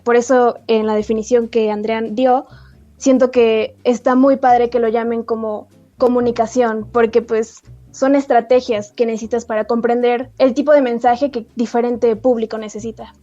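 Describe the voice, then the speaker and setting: A woman's voice: a little high, fairly even intonation, normal speed, dark tone, soft background music. An eighteen-year-old woman with a Mexican Spanish accent, a podcast guest talking earnestly with small restarts. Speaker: guest, youth science society member. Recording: muffled lo-fi mic.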